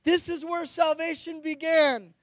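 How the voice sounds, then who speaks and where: A man's voice: extremely high pitch, level tone, a little slow, fairly bright tone, no echo, clean studio sound. A middle-aged man preaching a sermon as a pastor, earnest and declamatory, reading out scripture. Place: outdoors on a high ledge in a blizzard. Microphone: handheld or stand microphone close to the mouth.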